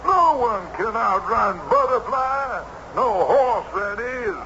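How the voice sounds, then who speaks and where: A man's voice: very high-pitched, animated, slow pace, dull audio, heavily acted. A man playing an indignant, proud racehorse owner with an emphatic, repetitive, old-fashioned manner in a radio drama. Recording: noisy, band-limited old radio broadcast.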